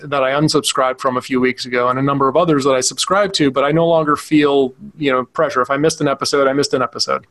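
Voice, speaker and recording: A man's voice: medium pitch, fairly even intonation, quick pace, few pauses, a bit bright. A man co-hosting a podcast who teaches yoga, rambling casually with dry jokes. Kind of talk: casual chat.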